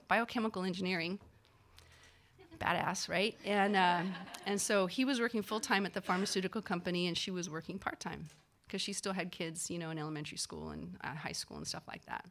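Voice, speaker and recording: A woman, a physician assistant, telling a personal story in a casual, animated way, acting out the people she quotes, with lots of fillers. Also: lowish voice.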